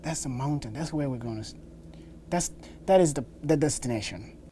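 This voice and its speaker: A young South Sudanese man speaks with a Dinka accent as a dialect coach. His tone is mellow and mid-pitched, over faint background noise. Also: moderate pace. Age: young adult. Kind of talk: spoken recollection and line coaching. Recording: clear background noise, ambience.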